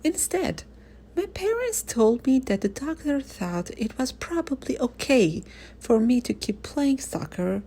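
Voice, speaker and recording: A woman reading a first-person children's story aloud in an expressive, animated storytelling manner. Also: a little high, vocal fry, breathy edge.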